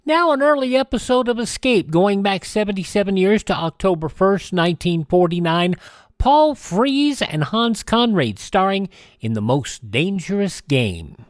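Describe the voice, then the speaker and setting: A man's voice: sits high, sing-song, measured pace, dry recording, studio quality. A man hosting a radio program, announcing shows in an informal but scripted way. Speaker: radio show host.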